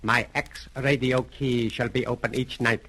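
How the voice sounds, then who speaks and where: An actor playing a sinister, commanding criminal mastermind in a 1930s radio drama, speaking formally and deliberately with cool courtesy. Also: male voice, mid-range voice, fairly even intonation, sharp tone. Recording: noisy old 1930s radio recording.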